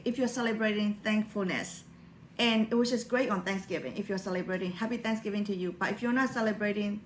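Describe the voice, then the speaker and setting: A woman's voice: a little high, reverberant, a little hiss or hum. A woman from Burma, Burmese her first language, talking earnestly in a rambling motivational vlog with non-native grammar and many fillers. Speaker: motivational vlogger. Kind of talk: personal motivational monologue.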